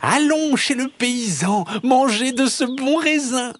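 A man's voice: extremely high pitch, expressive, slow pace, a bit bright, voice pushed up, full character voice. A man voicing a sly, pleading fox in a children's audio storybook, wheedling and dramatic. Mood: coaxing. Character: sly, pleading male fox, panicky friend in trouble.

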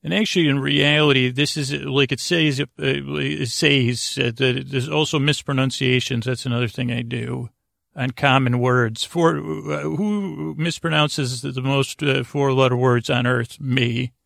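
Creaky, rambling male sleep podcaster. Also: medium pitch, steady tone, fairly bright tone, no room sound, studio quality. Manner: meandering and halting, trailing off into tangents; self-deprecating. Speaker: podcast host.

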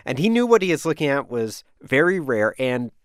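Male American science reporter, animated storyteller, mid-pitched, dry studio recording. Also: brisk, smooth, no echo. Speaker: science correspondent. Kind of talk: conversational narrative reporting.

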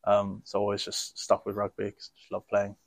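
A young man with a fairly low voice, answering interview questions in a relaxed and easygoing way. He laughs easily, and the recording is dry and close. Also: brisk, creaky, no room sound. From grew up in many countries. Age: young adult. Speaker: rugby player guest.

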